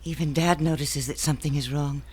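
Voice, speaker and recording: An actress in a radio drama playing a devoted, loving fiancée, speaking tenderly and intimately. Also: female voice, low-pitched, fairly even intonation, a little fast, noisy background, natural voice. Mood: hurt, worried.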